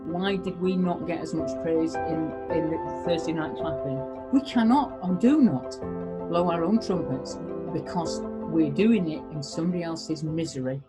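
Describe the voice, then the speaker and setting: A woman social worker speaking in a rueful, quiet manner. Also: deep voice, unhurried. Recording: loud music under voice.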